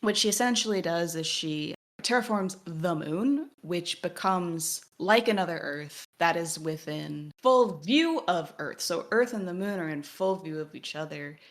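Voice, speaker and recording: A woman hosting a podcast, speaking in measured, analytical discussion with precise, formally worded sentences. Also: lowish voice, lively intonation. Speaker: podcast host.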